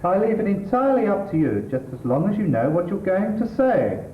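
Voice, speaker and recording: A man's voice: sits high, big pitch swings, brisk. A man acting a sardonic, exasperated middle-class English husband who lectures his wife in a stage comedy. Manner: exasperated, pedantic, dry sarcasm. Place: theatre stage. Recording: distant, echoey, tinny stage recording, hissy.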